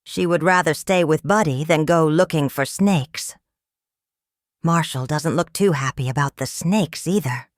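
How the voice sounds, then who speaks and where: Woman reading a children's storybook aloud, lower-pitched. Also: measured pace, no room sound, studio quality. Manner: expressive storytelling for young children. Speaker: storybook reader. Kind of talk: scripted read-aloud narration of a children's story.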